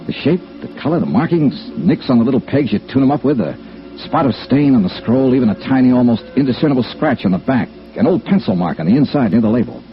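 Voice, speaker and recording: A man's voice: fairly low pitch, natural voice. A man playing a shrewd, plain-spoken insurance investigator in old-time radio noir, clipped, wry and conversational. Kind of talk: old-time radio noir acting and first-person narration. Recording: dull old radio recording with music.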